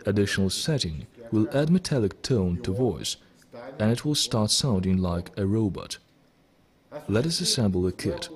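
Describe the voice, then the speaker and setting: A middle-aged man giving formal, deliberate scripted instructional narration as a presenter of technical videos. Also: lowish voice, very animated. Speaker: presenter of electronics kit videos.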